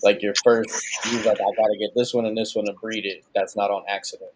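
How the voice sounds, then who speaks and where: A man co-hosting a casual podcast over a video call, relaxed and slangy, joking with his partners while asking questions. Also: lowish voice, fast, rough recording. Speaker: podcast co-host and father.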